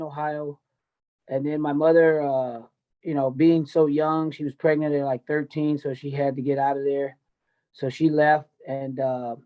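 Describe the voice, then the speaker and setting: A man in his fifties with an American accent and a fairly high voice, talking as a podcast guest. He tells personal stories bluntly and repeats himself for emphasis. The audio is somewhat dull and lo-fi. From United States, grew up in Tennessee and California. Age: 50s. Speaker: podcast guest, MMA coach. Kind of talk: candid personal storytelling in an interview.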